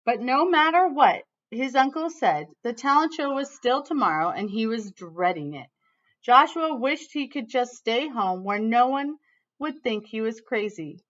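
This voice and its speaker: An American woman teacher reading a storybook expressively to students, pausing to explain words. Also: a little high, lively intonation, a bit bright. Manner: pauses to explain words to children. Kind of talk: expressive read-aloud with explanatory asides. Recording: dull, lo-fi sound.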